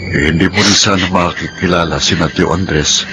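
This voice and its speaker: An actor playing a caring, concerned older brother in a Filipino radio drama, with a Filipino accent. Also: male voice, deep voice, steady tone, moderate pace, over music, close to an everyday voice. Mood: consoling. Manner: consoling, concerned. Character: caring older-brother figure, gently consoling.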